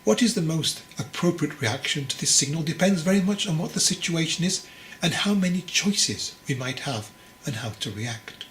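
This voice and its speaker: A man voicing a wise, patient old monk master, teasing and instructive, in a measured delivery for a storybook. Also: fairly high pitch, expressive, fairly bright tone, pitched above the performer's own voice. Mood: calm, instructive. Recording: clearly noisy recording.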